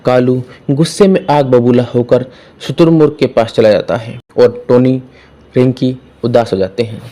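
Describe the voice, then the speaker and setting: Mellow-voiced male story narrator. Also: medium pitch, normal speed. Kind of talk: scripted children's story narration.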